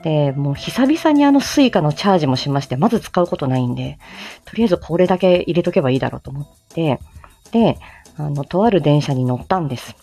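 A woman hosts a casual livestream in a distinctly low voice. Her intonation moves around a lot as she chats in a giggly, self-deprecating way. Faint music plays behind her. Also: lively intonation, quick pace, dark tone. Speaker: livestream host and voice actor. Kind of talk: casual morning livestream chat.

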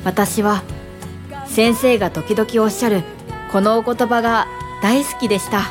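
An actress playing a polite, devoted young female assistant in a radio drama, speaking sincerely and with measured care. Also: a little high, fairly even intonation, lightly acted. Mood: fond reflection. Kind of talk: radio drama acting with reflective narration. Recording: studio radio drama over clear music.

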